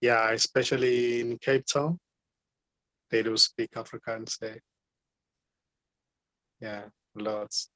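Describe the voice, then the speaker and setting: Slow, flat-voiced man. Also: medium pitch, dry recording. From South Africa. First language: Zulu. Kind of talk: casual group video chat.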